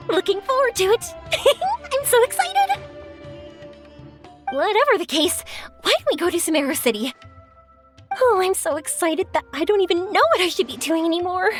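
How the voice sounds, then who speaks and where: A woman voicing a cheerful, excitable and friendly young fireworks maker in a video game, bubbly and expressive. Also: very high-pitched.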